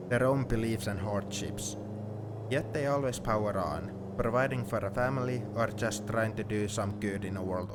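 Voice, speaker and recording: A man's voice: on the low side, lightly acted. A man playing a sentimental, teasing young friend heading to university, in an intimate one-sided ASMR roleplay. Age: young adult. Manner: reflective, playfully defensive. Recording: steady car noise behind the voice.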